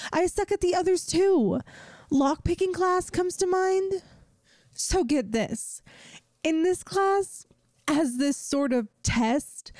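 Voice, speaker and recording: Girl voice actor doing a high pitched ditzy bestie in an audio roleplay, slowish, earnest, sheepish, always putting herself down. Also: darkish tone, no echo, full character voice. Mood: exasperated, embarrassed. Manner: earnest, self-deprecating, hesitant, sighing. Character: ditzy, sheepish young female friend failing yandere school. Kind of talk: scripted audio roleplay, spoken directly to the listener.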